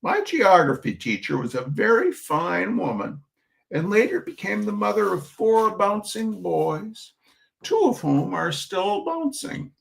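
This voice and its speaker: A man's voice: high voice, very animated, unhurried, gravelly, rough recording. A man hosting a reading of humorous essays, theatrical and emphatic, with mock indignation and chatty asides.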